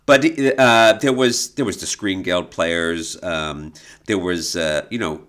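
A man's voice: deep voice, slow, fairly bright tone, clear, resonant tone. A man hosting an informal podcast, explaining history in detail with an enthusiastic, knowledgeable manner. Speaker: podcast host and expert guide.

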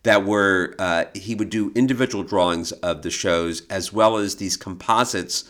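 A middle-aged American man co-hosting a podcast in conversation, explaining history in a measured storytelling way. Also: low voice, a bit bright. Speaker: podcast co-host. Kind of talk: conversational discussion of illustration history.

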